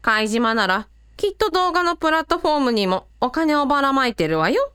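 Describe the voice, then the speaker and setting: A woman plays a caring, shrewd mother in a radio drama. She has a high voice and a sensible way of talking, recorded dry and close. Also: very animated, a little fast, fairly bright tone, dry recording. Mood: thoughtful. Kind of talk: Japanese radio drama acting.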